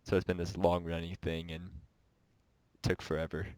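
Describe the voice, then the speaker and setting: Casting: congested, nasal young male co-host with a deep voice, dry close sound, rambling delivery. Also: completely flat delivery, normal speed, a little mellow, no echo. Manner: rambling, restarts sentences often. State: sick and stuffed up. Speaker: podcast co-host. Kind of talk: casual gaming podcast chat.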